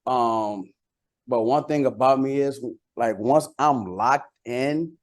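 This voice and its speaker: Male interview guest from Costa Rica, Spanish first language, mid-pitched voice, informal slangy chat. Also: slow. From San Jose, Costa Rica. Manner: colloquial, peppered with "like" and "you know". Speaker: interview guest, bilingual rapper and songwriter.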